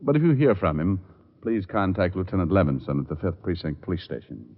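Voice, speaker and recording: A man's voice: deep voice, animated, a little fast, over loud music. An actor playing a cool, persistent male private detective in an old radio drama, matter-of-fact and probing. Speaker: detective and first-person narrator. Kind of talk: 1940s-50s radio detective acting. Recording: muffled, noisy old radio recording.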